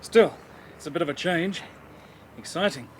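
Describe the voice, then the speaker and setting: A man's voice: fairly high pitch, lively intonation, moderate pace, slight background noise, close to an everyday voice, low-quality recording. An actor playing a young, fussy yet eager male police detective, questioning and complaining, in a radio drama. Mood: eager, curious. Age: young adult.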